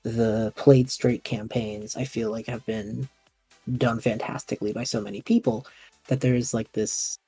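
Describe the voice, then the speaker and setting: Husky, mellow man chatting on a podcast. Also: mid-range voice, steady tone, soft background music. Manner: halting, rephrasing questions mid-thought. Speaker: podcast host interviewing a guest. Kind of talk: casual podcast chat about tabletop roleplaying shows.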